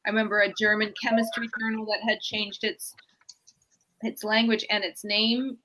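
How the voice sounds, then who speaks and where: A woman's voice: medium pitch, level tone, normal speed, bright, forceful tone, slight background noise, poor audio. A woman academic answering interview questions on a video call, explaining fluently and thinking aloud as she goes. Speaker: author being interviewed.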